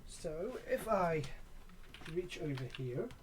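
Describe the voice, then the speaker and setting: A gaming video creator chatting casually and off the cuff in a halting way while showing items. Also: medium pitch, sing-song. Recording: clearly noisy background.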